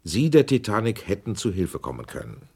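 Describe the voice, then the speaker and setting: A man's voice: lowish voice, wildly swooping pitch, a little fast, vocal fry, a little mellow, breathy edge, heavily acted. An actor playing a male committee investigator in a radio drama, questioning witnesses in a pressing, formal way. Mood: probing. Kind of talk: 1980s radio drama acting.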